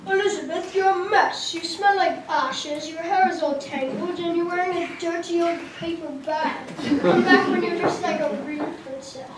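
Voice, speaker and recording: A woman acts out a prim, vain young prince with a very high voice. He fusses in puppet-show style, far off in a very echoey, noisy hall. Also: normal speed, hardly any pauses. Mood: disdainful. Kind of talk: children's puppet show performance. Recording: distant, very echoey, loud background noise.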